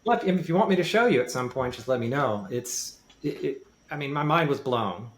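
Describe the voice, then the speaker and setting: A man's voice: mid-range voice, expressive, moderate pace, slight background noise. A man talking casually and explaining in detail, often repeating and rephrasing for emphasis. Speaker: podcast guest with a music background. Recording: roomy, echoey sound.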